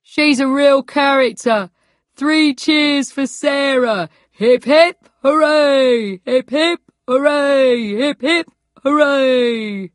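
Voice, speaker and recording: A hearty storybook man in a crowd, as a woman narrator acts him out. He calls out loudly at a raised pitch. The sound is close and dry. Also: drawn-out words, no room sound. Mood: hearty cheering. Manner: loud, calling out.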